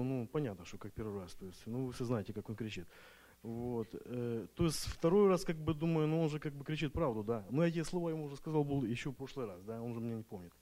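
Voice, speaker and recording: A man's voice: medium pitch, mellow. Nervous man, non-native Russian speaker. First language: not Russian. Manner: nervous, hesitant, many restarts and fillers. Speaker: retreat participant giving testimony. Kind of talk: personal church testimony.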